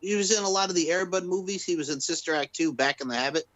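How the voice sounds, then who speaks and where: A man's voice: sits high, fast talker, a bit bright, no room sound, rough recording. An American man co-hosting a casual podcast, laid-back and given to swearing, reeling off film trivia. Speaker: podcast co-host.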